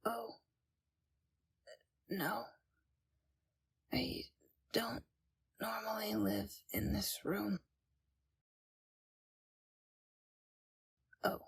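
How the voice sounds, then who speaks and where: A woman playing a timid, frightened young captive in an audio roleplay, speaking in a hesitant, hushed and halting way. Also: mid-range voice, fairly even intonation, creaky, breathy edge, dry recording, heavily acted. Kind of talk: scripted audio roleplay, ASMR.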